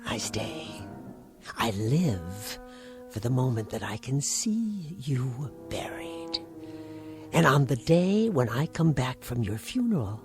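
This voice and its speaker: An actress playing a bitter, caustic, middle-aged niece in a vintage television drama, with cutting sarcasm. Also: female voice, a bass voice, very animated, takes it slowly, music in the background, exaggerated voice. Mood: bitter, resentful. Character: bitter, long-suffering niece, caustic and resentful.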